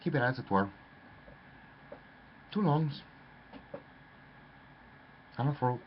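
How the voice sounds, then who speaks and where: A man's voice: mid-range voice, animated, takes it slowly. A man telling a rambling, theatrical story, addressing the listener as "lad". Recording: dull lo-fi with faint noise.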